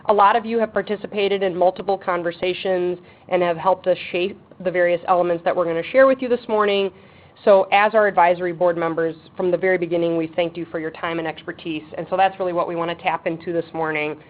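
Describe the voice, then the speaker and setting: A woman's voice: fairly low pitch, steady tone. Woman chairing a webinar over a phone line. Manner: says she has a loud voice; brisk, practical, with frequent ums. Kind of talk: webinar presentation. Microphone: speakerphone on a table. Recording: tinny phone line with faint background noise.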